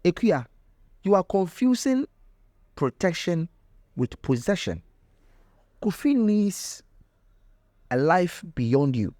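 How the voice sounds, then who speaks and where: A man voicing a stern, concerned woman elder of a village in a folktale, speaking gravely and admonishing. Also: high-pitched, very animated, takes it slowly, long pauses, mellow, dry recording, clean studio sound, voice pushed up, exaggerated voice.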